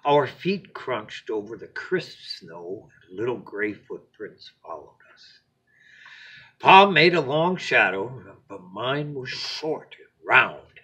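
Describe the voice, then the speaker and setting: A man reads a children's bedtime story in a husky voice. He takes his time, leaving dramatic pauses, and his pitch moves widely as phrases rise up and fall away to a hush. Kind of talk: expressive read-aloud of a children's picture book.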